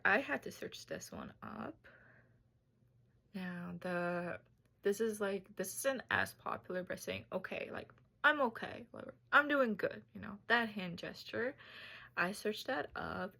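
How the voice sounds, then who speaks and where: Young woman, slow and thoughtful, a bit gravelly. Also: fairly low pitch, a bit bright. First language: Tajik. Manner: unhurried, thoughtful, slightly halting. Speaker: student. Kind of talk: casual class presentation video.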